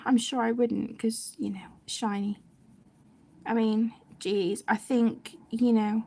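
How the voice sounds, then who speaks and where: A woman's voice: a little high, gravelly, darkish tone, husky. A British woman chatting casually and in a rambling way as she hosts a colouring stream, often breaking off her own sentences. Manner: chatty, rambling, self-interrupting. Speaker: host of an adult colouring channel. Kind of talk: casual live chat while colouring.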